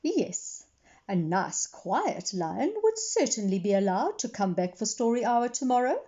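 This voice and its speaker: Lady reading as a strict bossy librarian woman from a kids storybook, firm n deliberate, bit muffled audio. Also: on the high side, sing-song, warm tone. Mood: firm, conceding. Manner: stern, emphatic, deliberate. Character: stern, commanding female head librarian, firm about rules.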